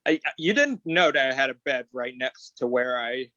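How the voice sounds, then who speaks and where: A man's voice: mid-range voice, animated, moderate pace, pushed and bright, dry recording. A man, an actor, explaining things casually in a video call discussion. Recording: dull lo-fi video call audio.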